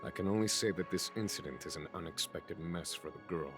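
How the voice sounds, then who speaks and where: A low male voice from an actor plays a genial general and diplomat in a video game. He speaks formally and eloquently, measured and composed, over prominent music. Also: lightly acted. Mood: grave, measured. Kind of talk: scripted video game voice acting.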